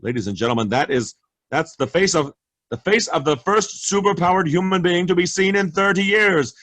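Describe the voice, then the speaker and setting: An actor in a staged reading playing a TV news anchor with a newscaster cadence who stammers when flustered. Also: male voice, sits high, animated, moderate pace, fairly bright tone, dry recording. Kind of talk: virtual staged play reading.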